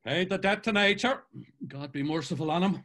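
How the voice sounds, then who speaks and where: An actor with an Irish accent playing a jaunty Dublin drinker who trades gossip, read over a video call. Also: male voice, high-pitched, brisk, a bit bright. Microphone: video call webcam.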